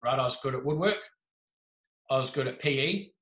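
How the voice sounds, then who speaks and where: Give me an animated, jokey New Zealand man in his thirties, bright-toned and echoey. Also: mid-range voice, fairly quick. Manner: animated, gestures a lot, jokey. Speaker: podcast guest. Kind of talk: casual storytelling. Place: small room indoors. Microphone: video call with desk mic. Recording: echoey room.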